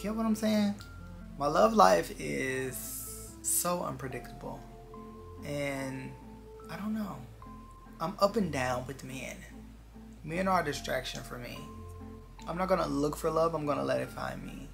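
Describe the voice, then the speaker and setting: Vlogger, twenties, gravelly fry with a bright edge, earnest reflective chat to viewers over background music. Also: mid-range voice, unhurried, pauses often. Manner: earnest, confiding, repeats phrases for emphasis.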